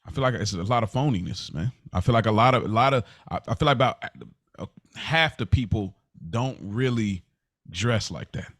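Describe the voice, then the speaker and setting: A man in casual podcast conversation, earnest and halting, repeating phrases for emphasis. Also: on the low side, animated, fairly bright tone, no room sound, studio quality. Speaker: podcast co-host.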